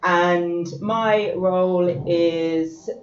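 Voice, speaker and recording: A woman's voice: fairly low pitch, steady tone, very slow. A woman in her late thirties from Coventry, a guest explaining her work in a matter-of-fact way. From Coventry, England. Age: 30s. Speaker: guest, fashion brand co-founder. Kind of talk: casual interview chat. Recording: distant roomy dull mic.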